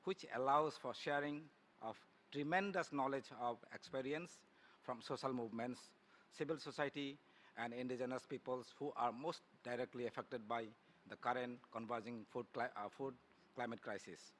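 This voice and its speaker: A man with a Bangladeshi accent reads a prepared formal statement as a spokesperson at a conference plenary, moving haltingly from phrase to phrase. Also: mid-range voice, fairly bright tone, slight background noise. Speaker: civil society spokesperson. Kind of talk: formal prepared speech read aloud at a plenary.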